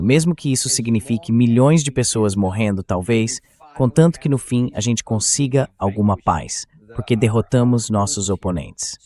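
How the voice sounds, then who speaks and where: A man's voice: lowish voice, a little mellow. A man with a Brazilian accent reading an interviewer's part as a translated voice-over, setting out questions for a guest. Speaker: interviewer and host.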